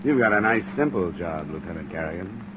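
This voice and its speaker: A man's voice: sits low, expressive, fairly quick. A man playing a tough, cynical insurance investigator in an old-time radio drama, blunt and pressing. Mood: wry, weary. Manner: blunt, hard-boiled, pressing. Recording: old radio recording with faint hiss.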